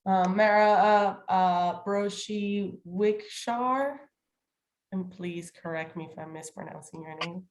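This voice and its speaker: Slightly nasal, lower-voiced woman chairing. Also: takes it slowly. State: getting over a cold. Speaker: committee chair. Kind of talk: opening and leading a formal online meeting. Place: remote video call.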